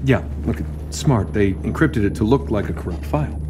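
An actor playing a cocky, wisecracking billionaire superhero inventor in a video game cutscene, with sarcastic, quippy delivery. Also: male voice, on the low side, lively intonation, fairly quick, noisy background, lightly acted. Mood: wry, distracted.

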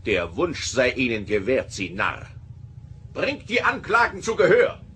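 An actor playing an imperious, mocking alien judge with theatrical, commanding manner in a science-fiction radio play. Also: male voice, medium pitch, huge pitch swings, a little slow, sharp tone, slight background noise, exaggerated voice. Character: imperious, mocking all-powerful alien judge in male form.

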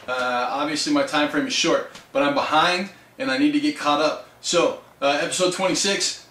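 A man in his thirties with a raspy voice, hosting a casual tabletop terrain review for his viewers, loose and chatty. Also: a little high, a bit bright. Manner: loose and chatty, full of fillers and asides. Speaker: tabletop hobby channel host.

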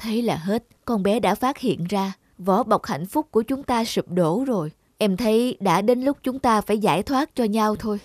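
A woman's voice: medium pitch, moderate pace, few pauses, a little mellow, no room sound, clean studio sound, close to an everyday voice. A woman voicing a worried, weary wife and mother in a cartoon, earnest and concerned. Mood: sorrowful. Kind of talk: cartoon dubbing.

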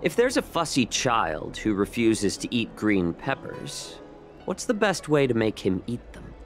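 A man voicing a composed, sly fox-man in a video game, politely needling and gently mocking. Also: mid-range voice, huge pitch swings, unhurried, soft background music. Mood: amused, teasing. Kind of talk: measured game character acting.

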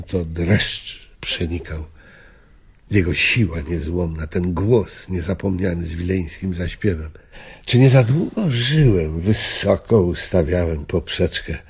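An actor playing an old, principled lawyer in a radio drama, solemn and disillusioned, speaking gravely. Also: male voice, sits low, a little mellow, exaggerated voice. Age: older. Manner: grave, measured. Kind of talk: radio drama acting, reflective.

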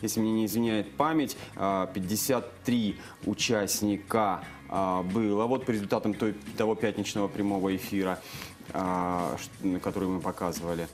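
Halting thirties male studio guest, lapel-miked, casual chat. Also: lowish voice, fairly even intonation, faint music behind. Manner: halting, many fillers and restarts. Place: TV news studio. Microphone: lapel mic.

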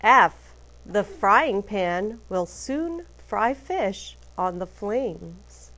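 Woman, teacher, children's read-aloud, animated pitch, slow pace, deliberate emphasis. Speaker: art teacher reading aloud to classes. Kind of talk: picture book read-aloud.

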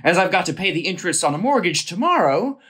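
An actor playing a blustering, rude male landowner and retired army officer in an audio play, brusque and formally forceful. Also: mid-range voice, very animated, quick pace, breathy edge. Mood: formal, insistent. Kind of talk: theatrical audio play acting.

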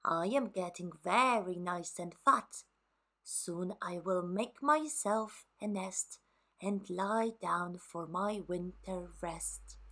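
A woman voicing a plump, contented storybook hedgehog talking slowly to itself. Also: on the low side, a bit bright, heavily acted. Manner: slow, self-satisfied mumbling to itself. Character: plump, contented hedgehog readying for winter sleep.

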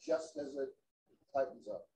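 A man's voice: medium pitch, unhurried, warm tone. A man hosting a woodworking show, explaining step by step as he works in the shop. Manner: explains step by step while working. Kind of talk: casual workshop demonstration. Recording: echoey workshop.

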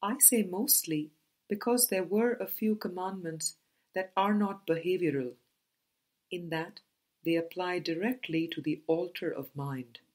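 A woman reading a spiritual book aloud as scripted narration, in a measured, deliberate manner with pauses between phrases. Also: fairly low pitch, darkish tone. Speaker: audiobook reader.

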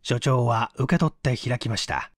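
A man's voice: medium pitch, moderate pace, no room sound, studio quality. A man reading a mystery story aloud as a scripted narration. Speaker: narrator, audiobook reader.

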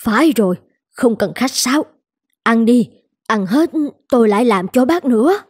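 Husky, kindly noodle-shop woman. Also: fairly high pitch, lively intonation, moderate pace, darkish tone. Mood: brisk, reassuring. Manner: plain-spoken, reassuring, insistent. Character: kindly, brisk, generous older woman running a noodle shop. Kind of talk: animated moral-tale voice acting. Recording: music under the voice.

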